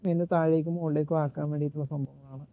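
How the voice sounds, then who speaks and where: A man giving a casual, unscripted gadget walkthrough in a halting way, searching for words and correcting himself. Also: fairly high pitch, level tone. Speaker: gadget reviewer and demonstrator. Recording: muffled phone-like narrow-band sound.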